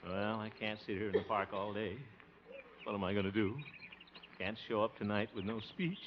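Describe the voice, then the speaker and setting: An actor playing a blustery, self-mocking small-town candidate for mayor in a 1950s radio sitcom. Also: male voice, lowish voice, steady tone, normal speed, muffled recording, close to an everyday voice. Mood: grumbling. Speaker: lead comic actor. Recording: restored 1950 radio broadcast, hiss.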